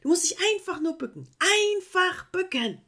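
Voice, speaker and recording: A woman voicing a fussy, indignant female koala who scolds in a shrill, insistent way. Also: very animated, normal speed, sharp tone, exaggerated voice. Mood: lecturing.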